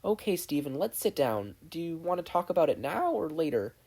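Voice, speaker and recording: A narrator voicing a protective, steady young woman who talks in a firm, direct, reassuring way. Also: medium pitch, fairly quick, husky, voice pushed up.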